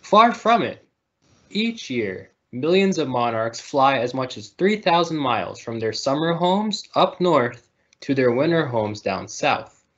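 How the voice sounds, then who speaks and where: A man reads a picture book aloud for young children. His mid-pitched voice rises and falls in a very animated way, heard through dull, lo-fi video-call audio. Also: measured pace. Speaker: storybook reader.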